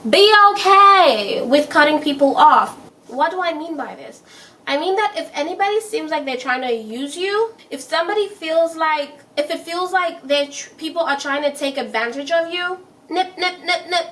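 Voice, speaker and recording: A woman's voice: extremely high pitch, animated, normal speed, pushed and bright, far from the mic. A young woman talking to her viewers in a chatty, emphatic way, repeating her points and laughing as she gives self-help advice. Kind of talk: casual advice monologue.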